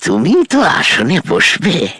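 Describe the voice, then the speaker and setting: Older tantric guru, grave, emphatic, theatrical, very animated pitch, audio horror drama, background music. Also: male voice, takes it slowly, exaggerated voice. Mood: assuring, solemn. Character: solemn, commanding male tantric guru warning his disciple.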